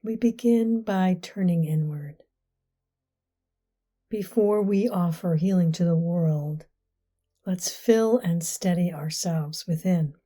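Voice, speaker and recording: Slow, soft-spoken woman guiding meditation. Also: on the low side. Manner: soft, slow, partly whispered delivery with long pauses. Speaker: meditation guide. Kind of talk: guided meditation.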